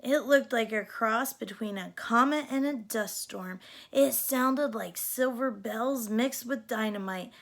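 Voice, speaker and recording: A woman reading a children's story aloud as an expressive narrator. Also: on the high side, measured pace, vocal fry. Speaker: storybook reader.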